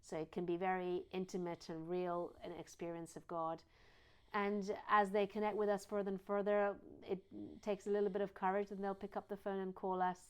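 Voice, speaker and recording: A woman's voice: mid-range voice, flat delivery, brisk, darkish tone, clean tone. A woman with a British accent that has a slight American twang, originally from Iran, answering interview questions fluently as a charity head. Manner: fluent and explanatory, lists things in series. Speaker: charity CEO, guest.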